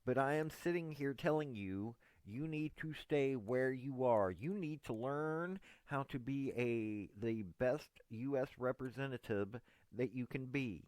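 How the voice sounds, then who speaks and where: A man's voice: mid-range voice, a little slow, few pauses, darkish tone, dry recording. Folksy East Tennessee man, dry sound. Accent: Southern US, East Tennessee. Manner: folksy, meandering, earnest. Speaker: political commentator. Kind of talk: direct-address monologue to a politician.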